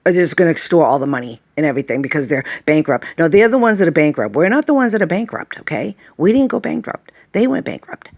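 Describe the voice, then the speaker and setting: A woman's voice: low voice, very animated, quick pace, hardly any pauses, darkish tone, dry recording. A woman in her late fifties rambling through a casual monologue, sighing often and checking in with "okay?" and "all right?". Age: late 50s. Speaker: vlogger.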